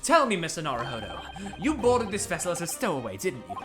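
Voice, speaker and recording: A woman voicing a calm, superior gentleman detective who talks in a deliberate, lecturing way, over game music. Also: on the low side. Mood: lofty. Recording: game music playing underneath.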